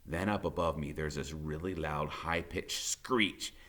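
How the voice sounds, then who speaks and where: A man's voice: extremely low pitch, measured pace, fairly bright tone. A man reading a novel aloud as dramatic first-person narration, emphatic and suspenseful.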